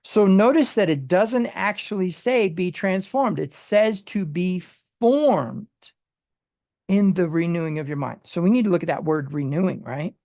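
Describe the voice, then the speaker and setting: A Bible study teacher who explains word meanings in a halting way, with many fillers and restarts. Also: mid-range voice, expressive, dark tone, dry recording, clean studio sound.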